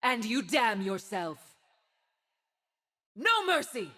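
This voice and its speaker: Cold righteous angel lady, slow and stern, game voice. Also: on the high side, sing-song, bright, forceful tone, heavily acted. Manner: cold, commanding, measured. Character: stern armored angel woman, righteous and unforgiving. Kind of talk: video game voice lines.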